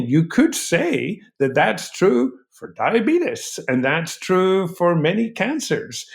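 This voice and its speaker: A man speaking as an expert interview guest, explaining in emphatic, articulate, measured phrases. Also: on the high side, gravelly. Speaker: physician researcher, interview guest.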